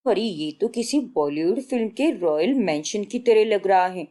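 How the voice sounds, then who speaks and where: A woman's voice: mid-range voice, animated, normal speed, mellow, lightly acted. A woman voicing an admiring, chatty young female friend in a story, slangy and gushing. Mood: amazed, admiring. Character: admiring, chatty young college girlfriend visiting.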